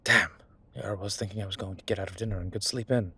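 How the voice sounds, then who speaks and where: A man playing a low-key investigator in a roleplay audio drama, speaking quietly and laconically with dry teasing. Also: fairly even intonation, quick pace, slightly rough, full character voice.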